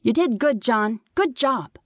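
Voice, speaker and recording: A woman's voice: on the high side, lively intonation, unhurried, dry recording, clean studio sound, pitched above the performer's own voice. A woman voicing an earnest young boy who calls out in an audiobook story. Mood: approving. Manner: raised, earnest calling. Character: young brothers, earnest boys calling out, one protective, one upset. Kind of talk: audiobook character dialogue.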